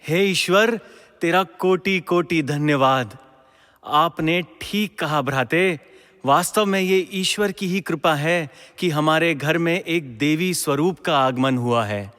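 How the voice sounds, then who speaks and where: A man's voice: a little high, slow pace, fairly bright tone. A man playing a devout, principled ancient king in a mythological audio drama, speaking in a formal, declamatory way. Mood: joyful, grateful. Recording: long echoing hall reverb.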